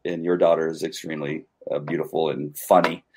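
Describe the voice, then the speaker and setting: A man's voice: rumbling low, level tone, brisk, darkish tone, slightly rough. A man hosting a casual livestream chat, speaking in an earnest, playful and hesitant way. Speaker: host.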